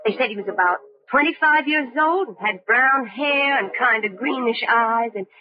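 A woman's voice: fairly high pitch, moderate pace, natural voice. A woman playing a talkative, folksy young diner waitress in vintage radio drama style. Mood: chatty. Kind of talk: 1940s radio acting. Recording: dull old radio recording with music.

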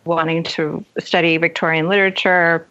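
A woman with a fairly low voice speaks as a guest author in an interview. She is articulate and thoughtful, reflecting on her craft. The recording is a little dull and lo-fi. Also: little pitch movement, normal speed, sharp tone. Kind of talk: reflective interview conversation about writing.

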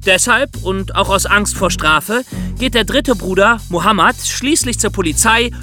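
A man's voice: high voice, unhurried. A young man co-hosting a podcast, narrating and explaining from a script for young listeners in a precise way. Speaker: podcast co-host. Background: music.

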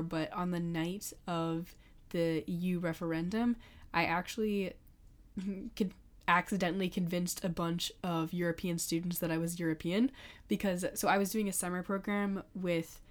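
A woman's voice: fairly low pitch, fairly even intonation. Chatty young American woman, podcast co-host. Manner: quick, opinionated, with many "like" fillers. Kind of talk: casual chat.

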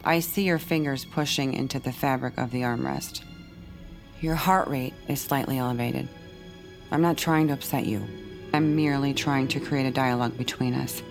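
An actress playing a calm, controlling female psychiatrist, measured and clinical with a cool menace, in an audio drama. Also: extremely low pitch, vocal fry, faint music behind. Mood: probing, cool.

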